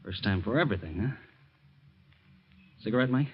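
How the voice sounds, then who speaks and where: Animated, earnest radio-drama hero. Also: male voice, lowish voice, moderate pace, slightly rough. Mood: wary, defiant. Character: earnest, caring ex-convict trying to go straight. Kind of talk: old-time radio drama acting. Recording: muffled, faintly noisy old radio recording.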